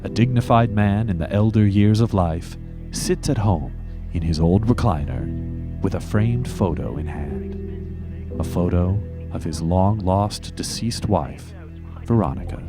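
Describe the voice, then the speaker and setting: A man's voice: low-pitched, lively intonation, unhurried. A man reading scripted comedic narration as the narrator of an audio drama. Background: music.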